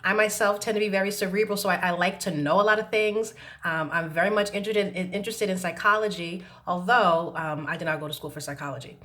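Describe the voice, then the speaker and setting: A woman talking to camera about self-healing, hesitant with many pauses and restarts, her voice still a little rough from laryngitis. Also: lowish voice, sharp tone. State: recovering from laryngitis, slight roughness. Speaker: self-help vlogger. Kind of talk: reflective talk to camera. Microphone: camera mic.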